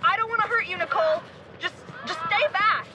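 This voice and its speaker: A young woman acting a bold, sharp-tongued ghost hunter in an audio drama. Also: very high-pitched, fairly quick, a faint crowd, full character voice, rough recording. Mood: firm, weary.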